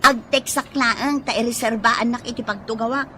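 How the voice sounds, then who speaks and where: A woman's voice: a little high, fairly even intonation, moderate pace, sharp tone, a little hiss or hum. An actress with an Ilocano accent playing an aloof, snappy young woman in a radio drama. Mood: annoyed.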